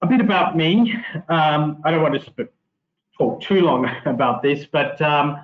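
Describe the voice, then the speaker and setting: A middle-aged Australian man, a marketing professor giving a webinar lecture in a relaxed, chatty way with many fillers and restarts. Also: fairly high pitch, unhurried. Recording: tinny phone-like online audio.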